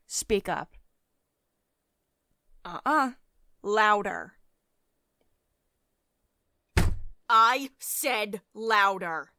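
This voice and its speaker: A woman's voice: medium pitch, sharp tone, no room sound, studio quality. A woman playing a stern, commanding CEO in an ASMR roleplay, scolding sardonically and condescendingly, with a caring streak. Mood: commanding, impatient. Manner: domineering, sardonic, clipped reprimands with sugary pet names.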